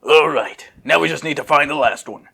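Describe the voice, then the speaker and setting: A boastful alien warrior, acted out by a man for an amateur fan fiction reading. The voice is forceful and bright, full of loud, teasing exclamations and cocky confidence. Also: a little high, normal speed, gravelly, breathy edge, voice pushed up, exaggerated voice. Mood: confident, upbeat. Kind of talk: amateur fanfiction character reading.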